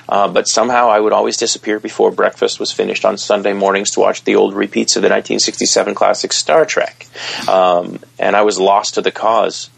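Male author guest, very gravelly and husky, lowish pitch, keen to talk. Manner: gravelly, eager and talkative. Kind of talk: casual interview chat.

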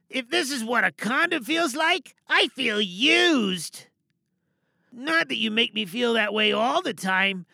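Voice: sits high, creaky, sharp tone, dry recording, clean studio sound, voice pushed up, full character voice. A performer voicing a hurt, neglected student leaving an earnest, hesitant message in a dramatic monologue.

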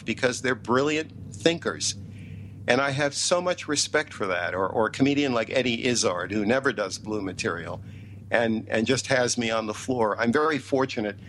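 A man's voice: medium pitch, fairly bright tone. Middle-aged male interview guest, mid-range voice, emphatic and opinionated delivery, faint background noise. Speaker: interview guest, TV writer and producer. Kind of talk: interview talk.

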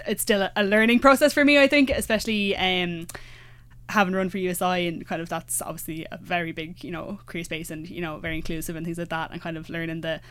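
A woman's voice: lowish voice, fairly bright tone, clean tone. A young Irish woman in her twenties chatting casually, full of fillers and restarts. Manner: fillers, many restarts, quick asides. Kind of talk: casual chat.

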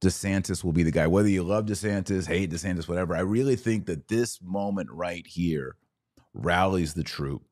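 A man hosting a podcast, giving live political and religious commentary in an emphatic, deliberate way, repeating phrases for stress. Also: low voice, darkish tone.

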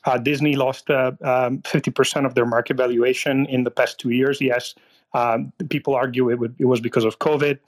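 A man from Italy calling in to a live audio room, talking in a halting way with many fillers and restarts. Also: mid-range voice, level tone. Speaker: caller. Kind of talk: casual political discussion.

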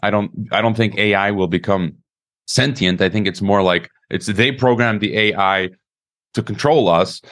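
A man with a somewhat low voice hosts an interview. He stammers often and repeats words as he works toward his point. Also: normal speed, fairly bright tone. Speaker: interview host. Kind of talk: conversational interview.

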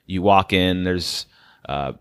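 A man's voice: deep voice, slow, smooth. A man hosting an interview podcast, talking in an enthusiastic, effusive way. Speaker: podcast host. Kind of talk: casual interview chat.

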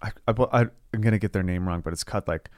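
A male co-host rambles casually, stringing thoughts together with lots of "like". His voice is on the low side, heard close and dry. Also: very fast, no echo. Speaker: podcast co-host. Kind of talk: casual conversational interview.

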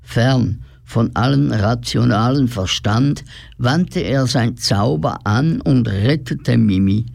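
A man narrates a scripted story for radio drama. His medium-pitched voice is slow and full of creak, recorded dry. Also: a little mellow, no room sound.

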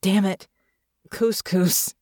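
Audiobook role: sharp-tongued, secretive demon-blooded woman, voiced by a female narrator, curt and sardonic, close dry sound. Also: mid-range voice, steady tone, extremely slow, dry recording. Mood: flustered. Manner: muttering, hissing, sardonic, curt. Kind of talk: audiobook character dialogue.

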